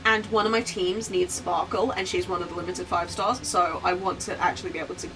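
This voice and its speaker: A woman's voice: lowish voice, fairly even intonation, brisk, noisy background. A woman game streamer chatting casually over gameplay, rambling in a giggly way. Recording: slightly distant mic, music behind. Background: game music.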